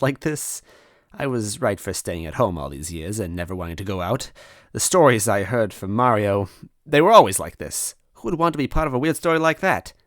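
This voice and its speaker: A man reading a first-person story aloud in an expressive narrating style. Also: on the low side, dry recording, clean studio sound. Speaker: story reader.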